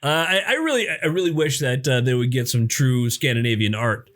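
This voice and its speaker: A man with a middle-pitched voice co-hosts a casual podcast chat. He rambles and hesitates, filling gaps with many uhs and ums. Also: expressive, brisk, a bit bright. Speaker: podcast co-host.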